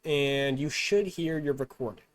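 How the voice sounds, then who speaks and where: A man presenting a step-by-step software tutorial in an instructional, measured manner. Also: fairly high pitch, rough recording.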